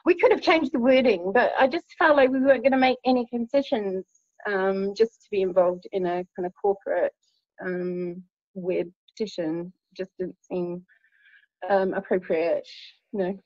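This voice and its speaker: A woman from New Zealand with a New Zealand accent, talking as an interview guest in a casual, hesitant way with many pauses and ums. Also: mid-range voice, dry recording, lo-fi sound. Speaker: campaigner guest.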